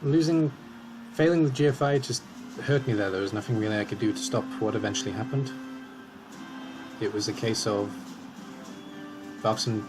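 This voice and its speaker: A man commentating his own match in a calm, analytical way as he talks through each move, slightly hoarse. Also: on the low side, moderate pace, long pauses, over music, poor audio. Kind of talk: casual game commentary.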